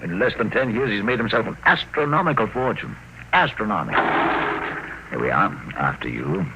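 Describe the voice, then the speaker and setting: A man's voice: on the low side, big pitch swings, moderate pace. An actor playing a chatty, officious male employment official who gushes with admiration in a 1950s radio drama. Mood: admiring. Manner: effusive, repeats words for emphasis. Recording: muffled, noisy old radio recording.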